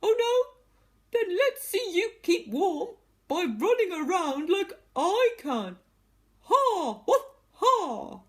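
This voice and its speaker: A woman's voice: very high voice, very animated, moderate pace, pitched above the performer's own voice. A woman voicing a rowdy male dog who barks and laughs at a tortoise. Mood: boisterous. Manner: barking, laughing, loud.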